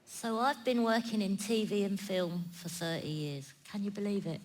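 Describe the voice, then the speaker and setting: A woman's voice: medium pitch, normal speed, poor audio. A middle-aged woman talking informally on a panel, candid and emphatic. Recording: clear background noise.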